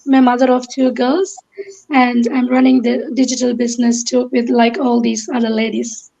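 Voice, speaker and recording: A woman's voice: fairly high pitch, little pitch movement, normal speed, creaky, dark tone. A woman from Kerala with a Malayali Indian accent, a native Malayalam speaker, giving a hesitant personal account in short phrases as an interview guest on a lo-fi online call. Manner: hesitant, piecing thoughts together in short phrases.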